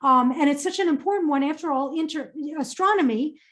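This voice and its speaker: A woman's voice: high-pitched, brisk, fairly bright tone. A woman presenting a career webinar and answering audience questions in an upbeat, emphatic way, often repeating phrases for stress.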